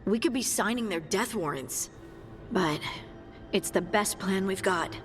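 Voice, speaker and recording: An actress playing a sober, loyal scout in a video game, plain-spoken and practical. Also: female voice, mid-range voice, lively intonation, unhurried, in a large hall, soft background music, lightly acted. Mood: sober, resolved. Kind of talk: cinematic video game voice acting.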